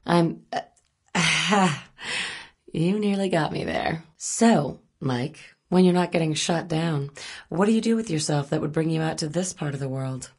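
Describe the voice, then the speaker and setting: A wry woman reporter in a spy thriller game. Her voice sits on the low side and has a husky grain. She talks naturally and dryly, teasing and probing while keeping her guard up. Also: lively intonation, measured pace, exaggerated voice. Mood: wry, flirtatious. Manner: dry, teasing, conversational. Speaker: video game voice actress. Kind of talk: naturalistic video game dialogue acting.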